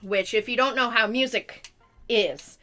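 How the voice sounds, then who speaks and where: Young woman streamer, higher bright voice, rambly explaining stuff, super casual. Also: a little slow. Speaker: live streamer chatting during gameplay. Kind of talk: casual chat.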